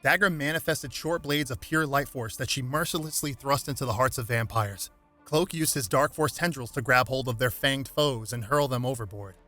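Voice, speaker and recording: Bright-voiced man narrating over music. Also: medium pitch, moderate pace. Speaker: narrator reading game lore aloud. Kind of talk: dramatic scripted narration.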